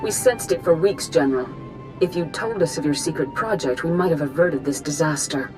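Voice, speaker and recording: An actress playing a wise, stern mystic knight master in a video game, measured and authoritative. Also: female voice, low-pitched, close to an everyday voice. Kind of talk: video game character acting. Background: music.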